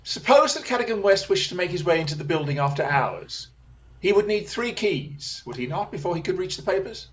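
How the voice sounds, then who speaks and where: A man plays a confident, analytical detective in a radio drama. His voice sits high for a man, with deliberate, theatrical phrasing. A faint noise runs under the recording. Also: big pitch swings, fairly bright tone, highs cut off. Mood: probing. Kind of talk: radio play acting.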